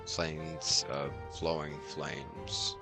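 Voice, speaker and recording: A man's voice: very deep voice, level tone, extremely slow. A man who streams games, chatting casually in short muttered fragments as he plays. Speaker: variety game streamer. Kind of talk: casual livestream gaming chat. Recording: game music behind the voice. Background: video game music.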